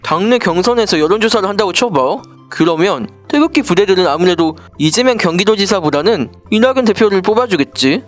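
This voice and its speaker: A woman's voice: medium pitch, lively intonation, a little fast, hardly any pauses, music in the background, close to an everyday voice. A woman playing a naive, scheming would-be politician sidekick in a storybook political satire, casual, exclamatory and wheedling. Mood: self-justifying. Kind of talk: scripted political satire dialogue, storybook parody.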